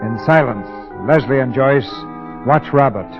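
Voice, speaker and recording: A man's voice: mid-range voice, unhurried, faint music behind. A man hosting a 1940s radio theatre, reading scripted narration in a formal, dramatic way. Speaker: radio show host and narrator. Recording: dull 1940s radio broadcast.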